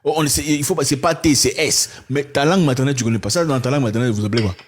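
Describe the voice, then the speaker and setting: Husky, impassioned man debating. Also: rapid-fire. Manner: animated, mimics voices in high-pitched bursts. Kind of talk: impassioned conversation.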